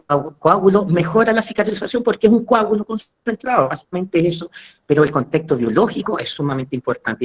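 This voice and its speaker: A Chilean man offers relaxed, appreciative remarks as a guest dentist on a webinar. His voice sits slightly high for a man. The video call audio is muffled and lo-fi. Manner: warm, complimentary, conversational with small restarts. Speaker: guest dental surgeon. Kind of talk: online webinar commentary.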